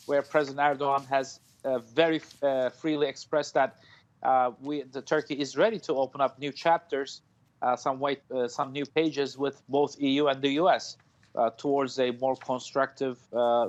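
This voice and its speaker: A man in his forties gives his analysis live from a room at home, stopping often with fillers and restarting his sentences. The video call sound is dull and lo-fi, and his voice sits at an average pitch for a man. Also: fairly even intonation. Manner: halting, many fillers and restarts. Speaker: foreign policy analyst. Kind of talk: live news interview.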